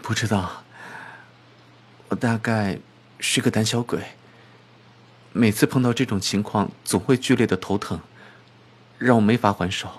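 A man's voice: lowish voice, a little slow, long pauses, husky. A man acting in a radio drama as a meek, poor young shop clerk with a hesitant, self-effacing way of talking. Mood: subdued, hesitant.

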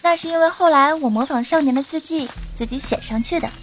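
A woman's voice: sits high, fast, a bit bright, slight background noise. A woman playing an earnest young female adventurer who bosses her companion in an audio drama. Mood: wistful. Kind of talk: Chinese audio drama acting.

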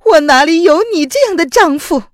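A woman narrator voicing a desperate young woman who pleads in a high, tearful voice. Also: takes it slowly, no echo, studio quality. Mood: bitter.

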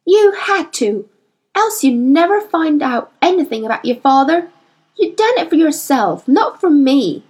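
A woman's voice: very high-pitched, very animated, a little slow, pitched above the performer's own voice, exaggerated voice. Woman voicing Lyra, fierce street-smart girl. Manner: blunt, rough informal speech. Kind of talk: audiobook character voice.

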